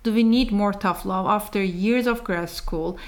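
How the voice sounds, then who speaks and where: A woman's voice: medium pitch, a little slow. Woman presenter reading scripted narration.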